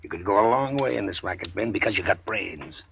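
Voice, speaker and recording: A man playing a wary middle-aged gangster who was once a preacher, folksy and drawling, with quiet menace, in 1940s radio drama style. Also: low voice, expressive, a little fast, vocal fry, a little hiss or hum, heavily acted.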